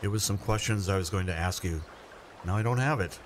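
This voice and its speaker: A man's voice: low voice, fairly quick, lightly acted. A man playing an awkward, well-meaning family fisherman in a radio play, hesitant and plainspoken, over noisy ambience. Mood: exasperated. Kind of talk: naturalistic radio play acting. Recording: noisy ambience with vehicle sounds.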